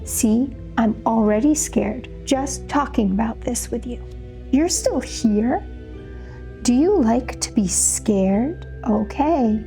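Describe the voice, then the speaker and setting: Woman as spooked storybook narrator: high, slow, theatrical, nervous warnings to young listeners. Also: expressive, mellow, faint music behind. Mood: alarmed. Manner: theatrical, addresses listener directly with questions. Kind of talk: dramatic children's read-aloud.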